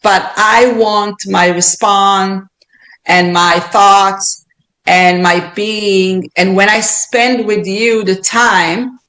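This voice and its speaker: A woman's voice: medium pitch, fairly bright tone, poor audio. A woman with an Israeli accent speaking as a podcast guest, earnest and reflective, often restarting and repeating words as she thinks aloud. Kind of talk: reflective interview talk.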